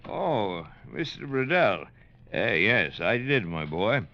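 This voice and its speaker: A man's voice: lowish voice, very animated, slow, background noise, full character voice. A fatherly, dying old captain. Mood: weary. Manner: hesitant, fatherly. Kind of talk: 1940s radio acting. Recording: lo-fi vintage radio broadcast.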